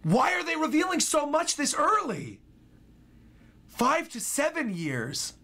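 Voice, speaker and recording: A man in his twenties giving excitable, casual live commentary as a reaction streamer in a small room at home. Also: extremely high pitch, slow pace. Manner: excitable, animated. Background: game trailer music.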